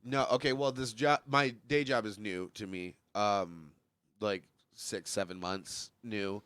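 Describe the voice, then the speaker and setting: A man's voice: lowish voice, steady tone, a little slow, fairly bright tone, smooth, no room sound, clean studio sound. A young man from Michigan, a stand-up comic guesting on a podcast, chatting casually with a congested voice and joking, rambling, laughing often.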